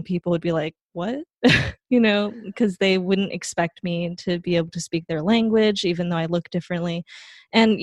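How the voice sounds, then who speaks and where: A young woman from Taiwan, a guest in a casual interview, talking in a relaxed, rambling way with plenty of fillers. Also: fairly low pitch, no echo. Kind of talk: casual conversation.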